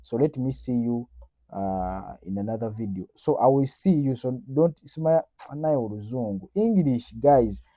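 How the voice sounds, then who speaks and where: A man's voice: mid-range voice, a little slow, dark tone, no echo. A Ugandan man with a Ugandan accent giving a casual forex chart breakdown, mixing Luganda and English in a chatty, boastful way. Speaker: forex trading analyst. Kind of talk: casual screen-recorded chart analysis.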